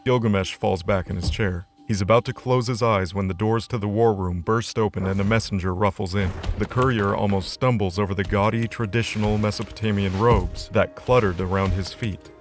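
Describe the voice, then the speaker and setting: Low-pitched male storyteller over music. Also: steady tone, fairly quick, few pauses. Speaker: narrator. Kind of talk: scripted audio drama narration.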